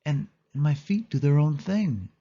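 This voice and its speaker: Man voicing a dreamy, husky young ballerina girl. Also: a little high, expressive, normal speed, dark tone, dull audio, voice pushed up. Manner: dreamy, enthusiastic.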